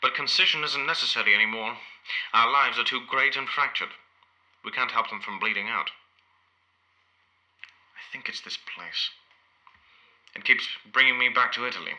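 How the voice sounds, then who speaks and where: An actor playing a proud, ageing imprisoned poet in an audio play, speaking in a measured, literary, rambling way. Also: male voice, medium pitch, bright, forceful tone, low-quality recording. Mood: wounded, brooding. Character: ageing imprisoned modernist poet, proud, reminiscing, wounded dignity.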